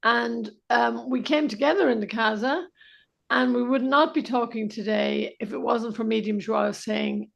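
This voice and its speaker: A woman's voice: a little high, a little slow, vocal fry, muffled recording. A woman interviewing a guest, affirming and speaking with gratitude. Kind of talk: spiritual interview.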